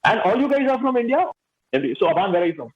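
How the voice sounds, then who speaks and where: A man hosting a panel discussion, upbeat, prompting each guest with quick praise. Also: high-pitched, big pitch swings, husky. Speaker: host. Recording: dull lo-fi audio.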